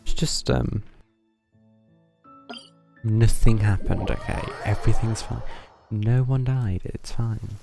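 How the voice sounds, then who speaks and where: A man's voice: fairly low pitch, steady tone, takes it slowly, vocal fry, long pauses, music in the background. A man giving casual, rambling live commentary as he plays a game. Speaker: game streamer.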